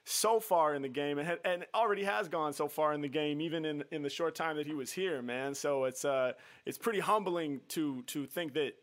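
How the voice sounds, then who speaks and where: A man's voice: a little high, normal speed, hardly any pauses. A man hosting a live show and chatting casually with callers in a slangy, appreciative way. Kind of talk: casual chat with callers on a livestream. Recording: music playing behind the voice.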